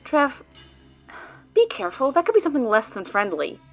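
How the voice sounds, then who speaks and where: A woman's voice: sits high, sing-song, fairly quick, soft background music, full character voice. A woman voicing a sarcastic, exasperated female guide who swears often, in a fan audio drama.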